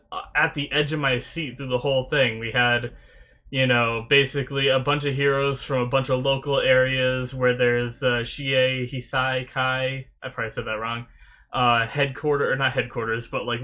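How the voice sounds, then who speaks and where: A man's voice: medium pitch, bright, forceful tone. A man hosting an anime reaction video, chatting casually to viewers while still sniffly and recovering from a sore throat. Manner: rambling, loose, talks with many fillers.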